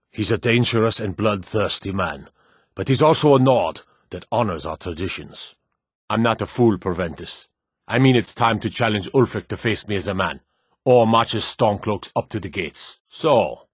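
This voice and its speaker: An actor playing a proud, stern middle-aged warrior lord in a fantasy game, with a commanding, measured delivery. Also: male voice, on the low side, slightly rough, no echo, clean studio sound. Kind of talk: scripted fantasy game dialogue.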